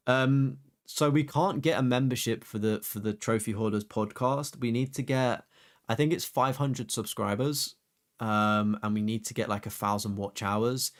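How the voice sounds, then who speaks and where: A young man chatting casually on a game live stream, rambling with fillers and casual swearing. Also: mid-range voice.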